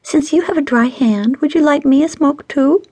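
A woman's voice: a little high, expressive, normal speed, warm tone, breathy edge, no room sound, voice pushed up. A woman narrator playing a flirty, pouting single woman with a teasing whine in an audiobook story. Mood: coaxing, playful.

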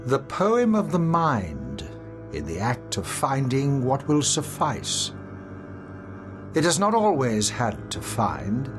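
A man giving an expressive, measured dramatic recital of poetry. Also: mid-range voice. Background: music.

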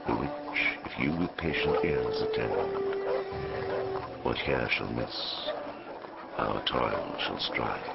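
Solemn chorus, extremely low gravelly voice, slow measured verse, loud music behind. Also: male voice, expressive, heavily acted. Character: solemn male chorus narrator of a tragedy. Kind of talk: theatrical verse prologue recitation. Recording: dull audiobook sound under loud music.

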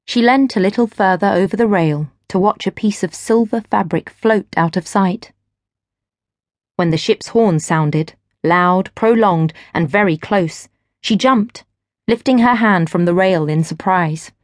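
A woman reading literary fiction as an audiobook narrator, in measured, expressive storytelling. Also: mid-range voice, dry recording, clean studio sound. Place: dry studio.

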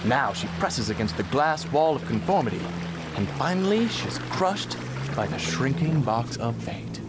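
An actor playing a smug, cool Venusian alien go-between with a dry, precise, haughty manner in a comic sci-fi radio drama. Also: male voice, mid-range voice, animated, normal speed, creaky. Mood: haughty, matter-of-fact. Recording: music under the voice.

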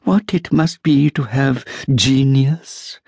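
A man voicing a fussy, genteel old woman landlady who pries sweetly, with drawn-out sing-song lines. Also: mid-range voice, vocal fry, darkish tone, breathy edge, no room sound, voice pushed up, exaggerated voice. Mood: flattering. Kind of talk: audiobook character voice.

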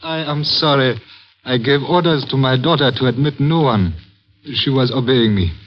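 An actor playing a courteous, guarded Chinese father in an old radio drama, speaking in formal, measured, cryptic phrases. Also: mid-range voice, lightly acted. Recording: muffled old radio recording, faint noise.

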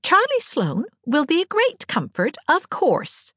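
A fanciful, talkative girl of eighteen from a storybook played by a woman reader. Her lines sit high and swing widely, with wry, playful expression. The sound is close and dry. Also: very animated, normal speed, a bit bright, no room sound. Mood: sarcastic. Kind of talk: audiobook character dialogue.

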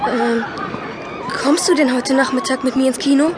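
A woman playing a shy, awkward schoolboy in a children's audio drama, halting and bashful. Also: sits high, fairly even intonation, over a noisy crowd, very noisy, natural voice. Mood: shy, disappointed.

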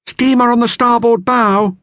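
A man's voice: very high-pitched, steady tone, measured pace, fairly bright tone, dry recording, clean studio sound, pitched above the performer's own voice. A man voicing a keen young boy lookout in an audiobook, calling out eagerly in clipped phrases.

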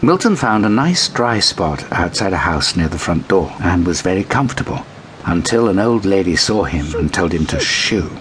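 A man narrating a children's bedtime story in an expressive storytelling style. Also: low-pitched, moderate pace, background noise. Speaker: audiobook narrator.

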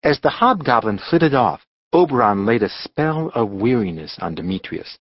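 A man narrating a storybook as an audiobook reader, in a dramatic, expressive scripted style. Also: medium pitch, moderate pace, no echo, highs cut off.

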